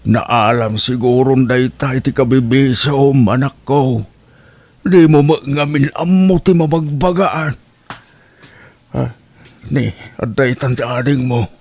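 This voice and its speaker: A man with an Ilocano accent acting a weary, worried, gentle old father in an audio drama. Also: mid-range voice, takes it slowly, dry recording. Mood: gently reproachful.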